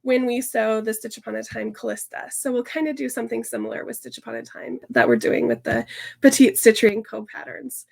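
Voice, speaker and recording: A woman hosting a casual sewing tutorial, her voice hoarse and stuffy from a sinus infection, chatting upbeat with lots of fillers and restarts. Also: a little high, animated, fairly quick, darkish tone. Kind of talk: casual sew-along tutorial chat.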